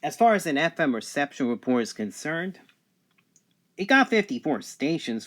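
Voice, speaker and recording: A man with a mid-pitched voice gives a casual, matter-of-fact product review. He pronounces letters and numbers with care as he demonstrates. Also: a bit bright.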